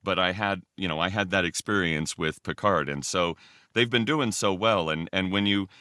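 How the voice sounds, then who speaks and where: Male podcast guest, low-pitched voice, very dry sound, casual and thoughtful with halting phrasing. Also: sharp tone, no echo. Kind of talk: casual discussion on a fan podcast.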